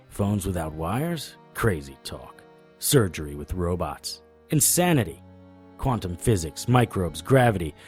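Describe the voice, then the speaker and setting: An actor playing a wry, stubborn occult investigator in an audio drama, talking and narrating in a conversational noir style. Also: male voice, lowish voice, darkish tone, husky. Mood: wry, insistent.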